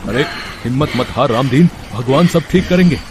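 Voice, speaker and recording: A man's voice: medium pitch, animated, normal speed, noisy background, natural voice. A man voicing a helpful village neighbour, urgent and commanding, in a moral-story cartoon.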